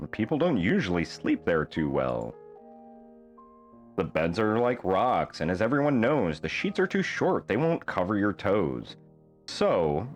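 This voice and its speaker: A man reading a rhyming children's bedtime story aloud in a playful, theatrical manner with deliberate phrasing. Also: on the low side. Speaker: storybook reader.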